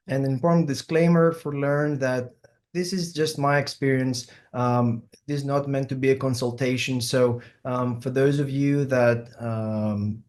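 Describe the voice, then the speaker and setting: A middle-aged man from Greece with a Greek accent, a surgeon giving an online symposium talk and often pausing with ums and uhs. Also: mid-range voice, fairly even intonation, a little mellow. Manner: hesitant, many ums and uhs. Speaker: plastic surgeon presenting.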